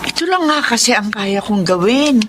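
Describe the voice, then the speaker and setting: A woman's voice: fairly high pitch, animated, normal speed, a crowd in the background, natural voice. A woman playing a middle-aged, humble, patient laundrywoman mother in a Filipino radio drama, meek, with a Filipino accent. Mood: meek, explaining.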